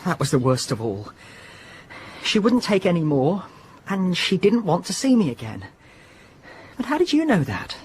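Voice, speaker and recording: An actor playing a bitter, overwrought young son of a wealthy family in a radio drama, speaking with emotional defiance. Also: male voice, high voice, huge pitch swings, normal speed, pauses often, a little hiss or hum. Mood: ashamed, anxious.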